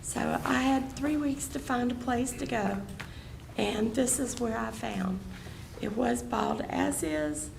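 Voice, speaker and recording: A hoarse older woman earnestly explaining her property appeal at a public hearing. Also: fairly high pitch, slow pace, background noise.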